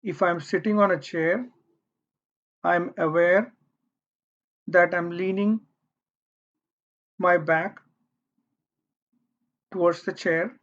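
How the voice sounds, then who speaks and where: A meditation guide speaking gently and deliberately, leaving long pauses between short phrases. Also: medium pitch, steady tone. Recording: dull, muffled lo-fi audio.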